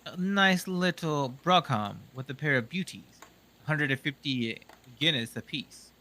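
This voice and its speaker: A man reading as a dry, brisk detective. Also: fairly high pitch, expressive, bright, forceful tone. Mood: assured. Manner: brisk, crisp and dryly assured. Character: sharp, confident male detective, dry and commanding. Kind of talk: audiobook reading.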